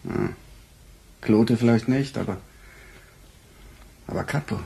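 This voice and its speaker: An actor playing a weary, middle-aged police chief in a radio crime drama, musing in a husky, sardonic, halting way. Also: male voice, medium pitch, mellow, exaggerated voice. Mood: brooding, agitated. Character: weary, embattled male police chief, brooding and gruff. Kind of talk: naturalistic German radio crime drama acting.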